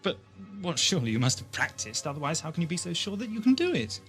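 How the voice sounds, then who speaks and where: A man's voice: on the high side, very animated, fast, fairly bright tone, faint music behind. A man playing an inquisitive, wry and polite time-travelling adventurer in an audio drama, with a questioning manner. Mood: wry, curious.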